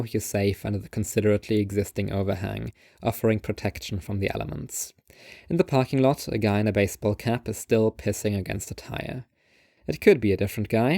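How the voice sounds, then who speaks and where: A man's voice: lowish voice, vocal fry, darkish tone, no echo, clean studio sound. A man reading scripted literary narration as the narrator of a second-person story.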